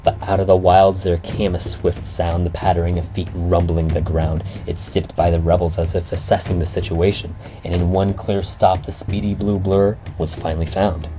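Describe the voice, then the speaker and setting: A man narrating a scripted, rhyming bedtime story in a dramatic, measured storybook manner. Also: low-pitched, flat delivery, low-quality recording. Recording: music behind the voice.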